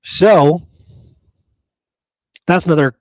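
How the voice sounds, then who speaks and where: A man's voice: on the high side, extremely slow, no echo. Colloquial man hosting a history podcast, dry sound. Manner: colloquial, emphatic, punctuates points with check-in questions. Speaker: podcast host and history researcher. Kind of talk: casual podcast talk recounting history.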